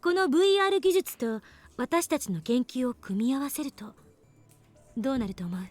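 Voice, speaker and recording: An actress playing a brisk, confident young woman scientist explaining things in an anime visual novel. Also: sits high, very animated. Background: faint game music.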